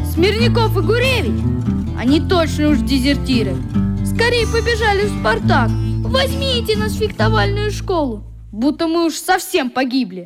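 High-voiced, earnest, stubborn schoolboy. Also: female voice. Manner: earnest, boyish, emotional. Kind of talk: radio play acting.

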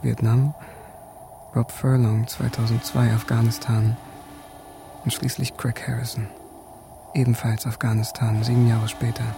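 A man's voice: mid-range voice, creaky, background noise, close to an everyday voice. An actor playing a detached army sniper who recounts his kills in a matter-of-fact, clinical way, as radio drama narration. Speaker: narrator and main character.

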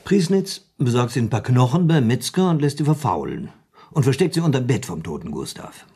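An actor playing a shrewd, superior man who spins a crime story in a radio play, with a husky voice and a deliberate, theatrical delivery. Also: medium pitch, big pitch swings, warm tone. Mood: scheming. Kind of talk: German radio play dialogue.

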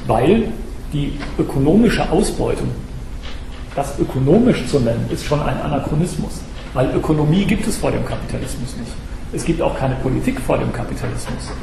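A slightly hoarse man gives an academic lecture in a somewhat high voice with a gravelly edge. He speaks straight to his listeners and often stops to restart his sentences. The recording sounds echoey, with steady noise behind him. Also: a little fast. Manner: talks to the audience directly, with many hesitation sounds and restarts. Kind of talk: academic lecture on political theory. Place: lecture room.

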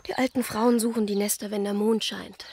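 An actress playing a practical, superstitious wife and mother who is quick to scold, in a German radio play. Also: mid-range voice, flat delivery, darkish tone, slight background noise, close to an everyday voice. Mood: insistent, hurt.

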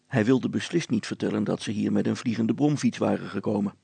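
Gravelly male storyteller, close and dry. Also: lowish voice, steady tone, brisk, warm tone, dry recording. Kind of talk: scripted audiobook narration of a children's story.

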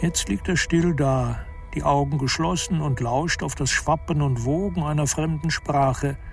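A man's voice: fairly high pitch, unhurried, vocal fry, faint music behind. A man delivering scripted literary narration for a radio drama.

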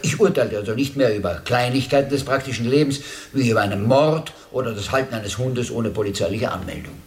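A man acting an eccentric, urbane former judge who muses aloud with deliberate hesitations in a radio play monologue. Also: mid-range voice, lightly acted. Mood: quietly proud. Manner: deliberate, musing, with hesitations and rhetorical pauses. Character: eccentric former judge, urbane, reflective, quietly amused at himself. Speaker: radio drama actor.

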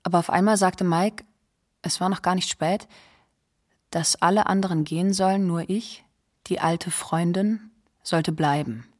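An actress playing an old friend who sadly and tenderly reminisces in an intimate, plain radio monologue. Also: female voice, lowish voice. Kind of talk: radio documentary drama monologue.

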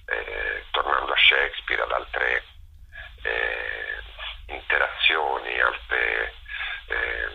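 A man with a gravelly, husky voice giving reflective interview answers, often pausing to search for his words. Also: a bass voice, steady tone, narrow, tinny audio. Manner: halting, searching for words, with many pauses. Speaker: interviewed theatre director and performer.

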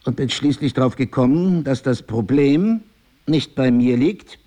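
An actor in a radio drama playing a probing, wounded husband, a cynical writer who speaks in deliberate, articulate, pointed phrases. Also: male voice, mid-range voice, animated, warm tone, lightly acted. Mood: confronting. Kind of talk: intimate German radio play dialogue.

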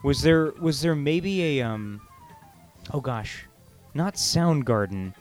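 A man in casual conversation, halting and stammering as he interrupts himself, with an excitable manner. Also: medium pitch, soft background music. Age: 20s. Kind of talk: casual chat.

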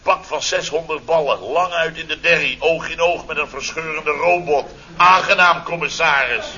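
A man's voice: fairly high pitch, moderate pace, pushed and bright. An actor playing a blustering, sarcastic middle-aged contractor boss, telling his mishaps theatrically in a radio comedy serial. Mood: indignant, sarcastic. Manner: theatrical, exclamatory, sarcastic storytelling. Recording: dull, noisy old radio recording.

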